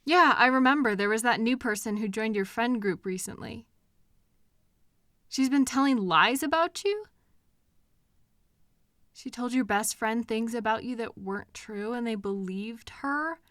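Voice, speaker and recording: Bright, unhurried caring girlfriend played by a woman. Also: a little high, no echo, clean studio sound. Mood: concerned, puzzled. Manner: tender, expressive, with soft pauses and questions. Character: caring, gently indignant woman comforting her upset partner. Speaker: audio roleplay performer. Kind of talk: intimate comfort roleplay audio, speaking to the listener. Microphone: close microphone in a dry room.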